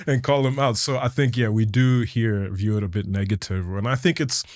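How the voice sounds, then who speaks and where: A man's voice: lowish voice, brisk, no echo, studio quality. A middle-aged South African man with a slight American twang, telling stories in a casual interview and laughing often. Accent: South African with some American twang. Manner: laughs often, animated storytelling. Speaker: guest.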